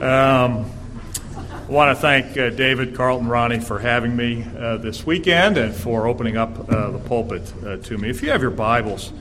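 A man with a raspy voice, a guest preacher delivering an earnest sermon in a church and reading scripture aloud. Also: medium pitch, fairly bright tone, a little hiss or hum. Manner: earnest, measured preaching with filler "uh"s.

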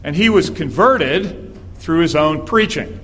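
A man with a raspy, slightly higher voice preaches as a visiting pastor in a church, reading scripture and then telling stories in an earnest, measured way. A faint hiss of room ambience sits behind him. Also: animated, fairly bright tone. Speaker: guest preacher.

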